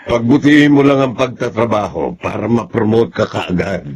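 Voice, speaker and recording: A man's voice: fairly low pitch, normal speed, a little mellow, natural voice. A man playing a generous, fatherly older family friend in a Filipino radio drama, with a Filipino accent, on a muffled recording. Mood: warm, encouraging. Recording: muffled, faintly noisy recording.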